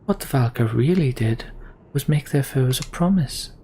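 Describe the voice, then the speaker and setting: A man voicing a sly woman storyteller in a video game, theatrical and measured with hushed, breathy phrasing. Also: medium pitch, expressive, slight background noise, natural voice. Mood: theatrical, knowing.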